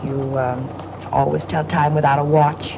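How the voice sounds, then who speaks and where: Low-voiced, languid, headstrong young woman. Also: flat delivery, measured pace, vocal fry. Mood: coy, chatty. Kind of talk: 1940s radio drama acting. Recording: noisy, dull old radio recording.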